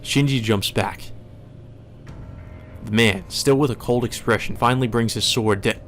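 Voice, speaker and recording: A man's voice: medium pitch, measured pace, a bit bright. A man reading visual novel narration aloud as a let's play host. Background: faint music.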